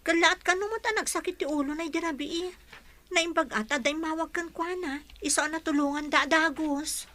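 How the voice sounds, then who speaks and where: Woman as lady with urgent news, high sharp voice, drama acting. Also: brisk.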